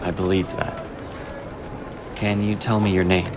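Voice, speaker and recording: A man playing a strong, kindly, easygoing elite guardian in a fantasy audio drama, gently insistent and reassuring. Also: deep voice, level tone, a little slow. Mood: warm, easygoing. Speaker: voice actor. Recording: noisy outdoor city ambience behind.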